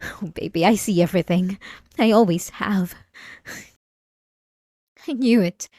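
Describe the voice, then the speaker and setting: A woman's voice: medium pitch, measured pace, darkish tone, dry recording, lightly acted. A woman playing an unhinged, possessive and obsessive wife in a dramatic ASMR roleplay, intense and swinging between pleading and menace. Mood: menacing. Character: unhinged possessive, obsessive young wife confronting her husband.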